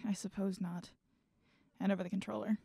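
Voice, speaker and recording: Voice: mid-range voice, level tone, normal speed, creaky, mellow, husky, no room sound, clean studio sound. An actor playing a biting, sarcastic astronaut who nurses a grudge in an audio drama. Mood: grudging. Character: Hugh, bitter sarcastic astronaut nursing a grudge.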